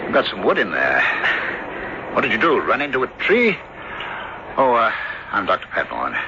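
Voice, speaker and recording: Casting: a rational, kindly small-town doctor for radio drama, hugely varied intonation, fussy reassurance, dull noisy vintage sound. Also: male voice, fairly low pitch, wildly swooping pitch. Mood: kindly, busy. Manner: fussy, reassuring, measured storytelling and dialogue. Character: kindly, rational country doctor, a man of science. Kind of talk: old-time radio drama acting. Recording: muffled, noisy old radio drama recording.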